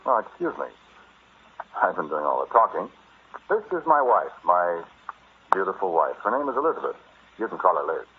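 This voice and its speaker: A man's voice: low voice, sing-song, moderate pace, pauses often. An actor in an old-time radio drama playing a flippant, stubborn explorer husband who hides his fear, telling his tale in a wry, conversational way. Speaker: narrator and lead actor. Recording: muffled, noisy vintage radio recording.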